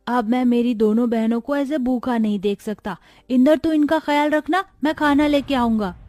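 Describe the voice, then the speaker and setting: A woman's voice: fairly high pitch, no room sound, clean studio sound, natural voice. A woman voicing a poor, earnest, tender young child who pleads in a moral-story cartoon.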